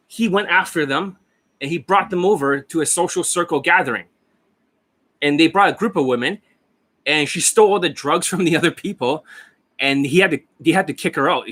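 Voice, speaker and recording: Man, hoarse voice, a bit high, brash and profane, rambling coach monologue, desk mic. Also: a bit bright. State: hoarse, losing his voice. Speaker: dating coach. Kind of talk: casual monologue over a screen share. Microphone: desk microphone.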